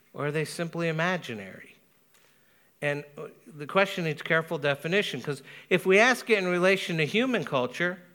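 A man preaching a sermon as pastor, speaking earnestly with a Spanish accent and slowing down to stress key words. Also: on the high side, bright, forceful tone. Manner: earnest, measured preaching with emphatic slow stresses.

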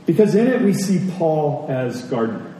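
A man delivers a sermon in a high-pitched, mellow voice. He repeats key phrases deliberately and punctuates his points with questions. The sound is very echoey, as if heard across a big hall. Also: very animated. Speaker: pastor preaching. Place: large reverberant room, church service. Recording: very echoey hall, distant mic, faint noise.

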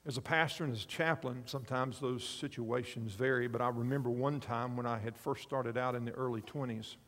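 A man's voice: medium pitch, steady tone, brisk, fairly bright tone, long reverb tail. A man in his fifties preaching a sermon, telling a story earnestly and drawing the congregation in. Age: 50s. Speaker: pastor and chaplain.

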